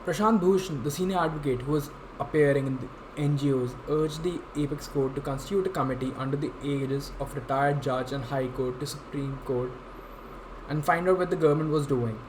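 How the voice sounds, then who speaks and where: A young man with an Indian accent giving a formal, somewhat halting scripted explainer as a legal intern. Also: on the high side, darkish tone. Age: young adult. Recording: clearly noisy amateur room recording.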